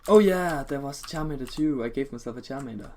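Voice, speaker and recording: A man's voice: mid-range voice, fast, a crowd in the background. A man chatting casually over a video game as co-host, with joking, teasing banter. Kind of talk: casual chat over gameplay. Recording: noisy home mic with keyboard clicks.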